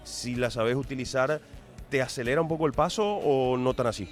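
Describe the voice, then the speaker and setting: A male radio host speaks in the eager tone of an announcer, asking long, winding questions. His pitch is ordinary for a man. Soft music stays low underneath him. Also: moderate pace. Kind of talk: radio interview.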